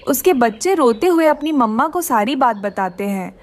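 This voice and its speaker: A woman's voice: high voice, animated, normal speed. A woman narrating a scripted children's cartoon story.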